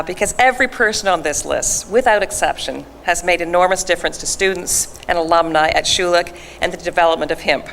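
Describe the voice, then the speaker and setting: A woman's voice: on the low side, fairly bright tone. Woman presenting at an awards gala. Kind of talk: reading a prepared introduction. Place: event hall. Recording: echoey hall with faint noise.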